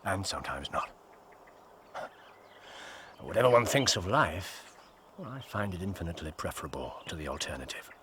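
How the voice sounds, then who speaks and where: An actor playing a brilliant, self-assured male detective in a radio drama, with crisp, precise explaining. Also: deep voice, animated, moderate pace, pauses often, sharp tone, slight background noise. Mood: reflective.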